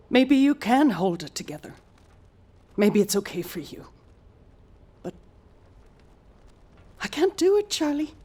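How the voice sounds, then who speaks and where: A woman's voice: medium pitch, darkish tone. An actress playing a proud, guilt-ridden female space mission commander with a clipped, formal manner in an audio drama. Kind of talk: naturalistic audio drama acting.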